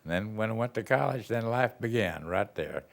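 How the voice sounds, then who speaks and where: Gravelly older Texan man reminiscing. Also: on the low side, expressive, moderate pace. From Texas. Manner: rambling, folksy storytelling with chuckles. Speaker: retired band director. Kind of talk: reminiscing interview answers.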